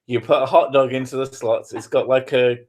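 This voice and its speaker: A man's voice: mid-range voice, fairly even intonation, a little fast, a little mellow. A man chatting casually with his partner, joking and swearing a lot and laughing often. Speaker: streamer.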